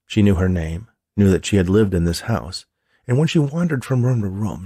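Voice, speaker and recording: A man's voice: lowish voice, warm tone, breathy edge, no echo, clean studio sound. A man who is an author, narrating a chapter of his own story from the page. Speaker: author reading own book.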